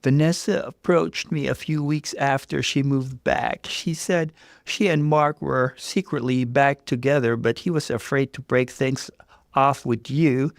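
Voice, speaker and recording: A man's voice: mid-range voice, steady tone, a little slow, creaky, no room sound, studio quality, pitched above the performer's own voice. A man voicing a nervous, remorseful young female co-worker in a story, apologetic and emphatic in delivery. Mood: confessing.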